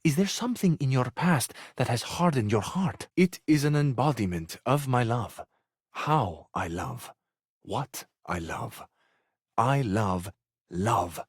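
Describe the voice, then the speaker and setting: A man's voice: mid-range voice, lively intonation, slow pace, breathy edge, no room sound, clean studio sound. A man voicing a flamboyant, hopelessly romantic Italian charmer in a video game, with an Italian accent and a florid, amorous delivery that savors each phrase. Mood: adoring, earnest. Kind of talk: theatrical video game character acting.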